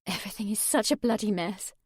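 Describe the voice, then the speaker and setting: A woman's voice: mid-range voice, fast, husky, no room sound, studio quality, close to an everyday voice. Woman reading a weary, flustered mother. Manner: tired, flustered. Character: weary middle-aged mother on the phone.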